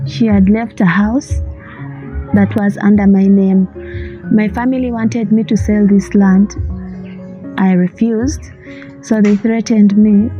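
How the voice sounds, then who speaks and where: Woman from Uganda giving testimony. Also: mid-range voice. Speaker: refugee telling her story. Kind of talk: first-person testimony. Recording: muffled video audio.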